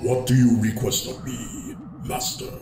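A man's voice: medium pitch, very animated, measured pace, echoey room, music in the background. A man in an audio drama playing a villain's grave, submissive apprentice, solemn and deferential.

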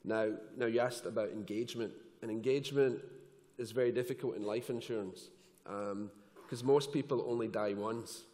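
Joking panelist in a big hall. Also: male voice, medium pitch, moderate pace. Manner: relaxed, joking, conversational. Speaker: insurance executive panelist. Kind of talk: conference panel discussion. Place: large conference hall stage. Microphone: headset mic. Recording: long hall reverb.

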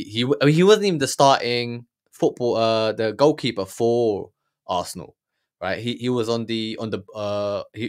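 A man in his thirties giving blunt, heated reaction commentary with lots of stammered restarts, recorded on a studio mic in a small room. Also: on the low side. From Germany. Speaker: podcast host reacting to a documentary. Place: small room with purple lighting. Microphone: studio mic on boom, earphones.

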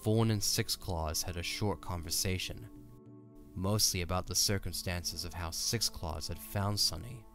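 A man's voice: low-pitched, a little slow, fairly bright tone. A man narrating a scripted story for an audio drama. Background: music.

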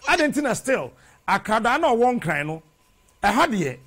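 A middle-aged Ghanaian man hosting a TV studio show, delivering a preachy, animated monologue in Twi and English, repeating phrases rhythmically into a lapel mic. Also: high voice, a little slow, husky. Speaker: TV host. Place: TV studio with brick wall.

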